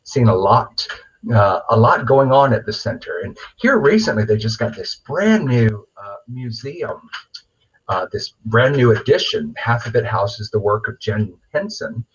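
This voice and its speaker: Husky middle-aged man, dull lo-fi audio. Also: lowish voice, big pitch swings, unhurried. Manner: enthusiastic, rambling. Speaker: puppeteer, interview guest. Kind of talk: casual chat. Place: remote video call. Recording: dull, lo-fi video call audio.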